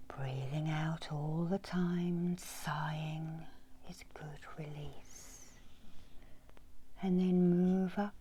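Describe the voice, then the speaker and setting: A middle-aged woman whispers a guided meditation in a breathy, soothing ASMR style, pausing often, as a healer would. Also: low-pitched, fairly even intonation, warm tone, slight background noise. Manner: slow, soothing, with long pauses. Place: small room indoors.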